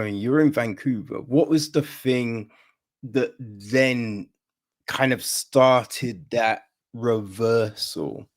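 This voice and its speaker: A man hosting a podcast, asking casual, curious questions with lots of "like" and "you know". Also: mid-range voice. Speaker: podcast host interviewer.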